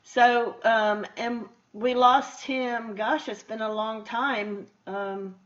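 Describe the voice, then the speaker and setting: High-voiced woman hosting a radio interview. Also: steady tone, takes it slowly. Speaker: radio show host, interviewer. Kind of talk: casual conversational interview. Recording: dull, lo-fi radio interview audio.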